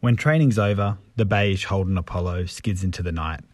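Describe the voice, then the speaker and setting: A man's voice: sits low, fairly quick, no room sound. An Australian man hosting a podcast informally, with a dry, understated delivery. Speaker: podcast host. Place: under a bed sheet at home.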